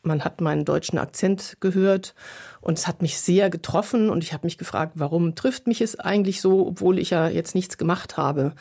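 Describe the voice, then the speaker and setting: A woman therapist speaks as an interview guest, working through her thoughts earnestly. Her manner is searching and self-reflective. She pauses to find her words as she goes. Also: fairly low pitch, creaky, warm tone, breathy edge.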